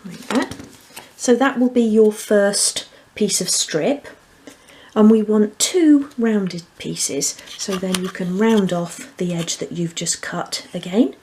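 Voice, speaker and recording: A woman's voice: mid-range voice, slow, mellow, slight background noise. A woman presenting a hands-on craft tutorial, explaining each step methodically. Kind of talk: hands-on step-by-step instructional demonstration.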